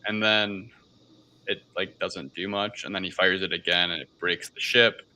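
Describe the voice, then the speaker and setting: A man with a very low voice and a bright edge talks as a podcast co-host. He gives a casual film recap, wandering and hedging as he goes, and often rewords himself. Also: steady tone, moderate pace, rough recording. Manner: rambling, hedging recap with self-corrections. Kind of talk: casual film review chat.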